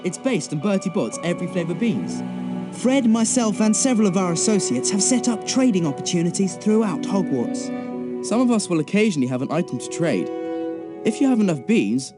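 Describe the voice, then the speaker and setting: A man's voice: high voice, very animated, brisk, over music. An actor playing a mischievous teenage schoolboy wizard in a video game, with breezy salesman patter. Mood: breezy, scheming.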